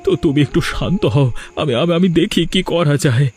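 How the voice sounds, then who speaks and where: Man as a high-voiced, worried village father. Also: moderate pace, music in the background, natural voice. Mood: anxious. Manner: earnest, reproachful. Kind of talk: cartoon melodrama acting.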